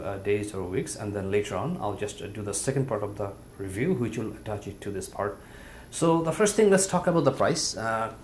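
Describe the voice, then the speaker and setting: A man's voice: medium pitch, a little mellow. Man reviewing gadgets over noticeable background noise. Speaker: product reviewer. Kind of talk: casual unboxing and review commentary. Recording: clear background room noise.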